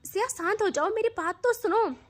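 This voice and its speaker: A woman's voice: very high voice, moderate pace, voice pushed up. A woman voicing a hot-tempered, possessive young woman who talks intensely and stammers when she pleads. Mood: defensive.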